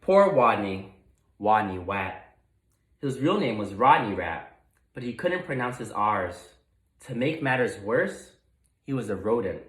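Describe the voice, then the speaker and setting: Male teacher, storybook read-aloud: very animated pitch, expressive pauses, echoey room. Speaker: teacher reading aloud to students. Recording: roomy, echoey sound.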